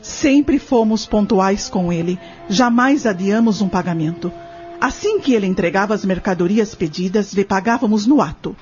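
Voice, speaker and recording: A woman's voice: mid-range voice, normal speed, a little mellow, lightly acted. A woman with a Brazilian accent playing a composed farm wife who answers politely and firmly as a witness in an audio drama. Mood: polite, assured. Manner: polite, firm, formal answers. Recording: dull audio with music underneath.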